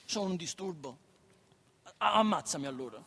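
An actor playing a stubborn, naive, troubled son in a radio drama, stammering and halting, with a southern Italian, Neapolitan accent. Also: male voice, a little high, lively intonation, creaky, fairly bright tone, husky, heavily acted. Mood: hurt, defiant. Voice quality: stammering, repeats first syllables. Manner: halting, childlike, insistent.

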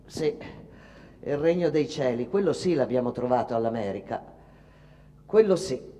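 A woman's voice: very deep voice, expressive, normal speed, warm tone. An actress playing a bitter, weary Italian immigrant mother in a dramatic radio monologue, plain-spoken, rustic and emphatic. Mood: resentful. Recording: reverberant hall-like sound.

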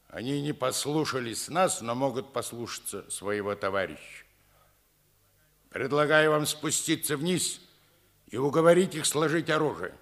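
German army colonel, imperious, impatient, somewhat high pitch, theatrical, formal, vintage Soviet radio play. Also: male voice, fairly bright tone.

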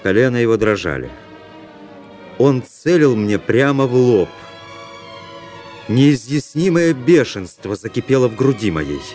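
An actor playing a cool, proud young officer who narrates his own duel in a radio drama, in measured literary phrasing. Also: medium pitch, sing-song, darkish tone, faint music behind. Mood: tense, controlled.

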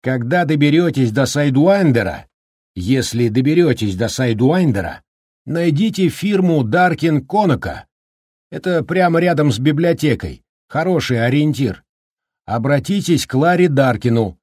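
A man voicing a cheerful, talkative middle-aged snowplow driver who speaks in a brisk, folksy, joking way. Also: fairly high pitch, expressive, darkish tone, no echo, clean studio sound, voice pushed up. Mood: cheery, chatty.

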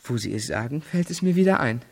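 An actor playing a cool, arrogant, educated rich young student in a radio play, speaking precisely and evasively in a reverberant room. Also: male voice, medium pitch, expressive, fast, gravelly, darkish tone, slightly rough.

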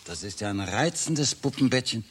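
A man's voice: mid-range voice, expressive, normal speed, a bit bright, husky. A male actor playing a concerned family doctor in a children's radio play, authoritative and insistent, with a patronizingly soothing way of talking. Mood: firm, soothing. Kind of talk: 1970s German children's radio play acting.

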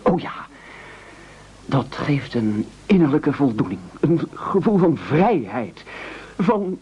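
A meek, earnest would-be martyr in a radio play, his voice rather high and husky. He stammers, then swells into self-important oratory with big swings of pitch. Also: very animated, slow, dark tone, heavily acted. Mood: noble, elated. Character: meek, eager man nobly volunteering to be eaten. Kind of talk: absurdist radio play acting. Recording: muffled old radio broadcast recording.

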